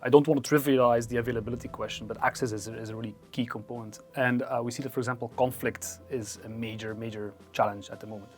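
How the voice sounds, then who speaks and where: A man's voice: mid-range voice, steady tone, fast, hardly any pauses. Thoughtful man with faint music behind. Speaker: conference expert. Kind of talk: interview remarks.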